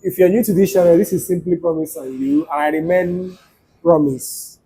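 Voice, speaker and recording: A man's voice: high voice, normal speed, mellow, a little hiss or hum, rough recording. A young man from Nigeria hosting a casual chat, asking curious, teasing questions. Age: young adult. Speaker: host.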